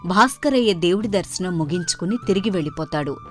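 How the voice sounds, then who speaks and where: Lively woman storyteller with swooping intonation. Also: on the low side, a little fast, faint music behind. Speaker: story narrator. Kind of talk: scripted storybook narration.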